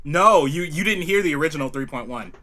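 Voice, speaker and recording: Male guest, lightly high pitch, informal banter, busy chatter in the background. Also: lively intonation, a little fast. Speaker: musician guest on a Q&A. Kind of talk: casual joking group chat.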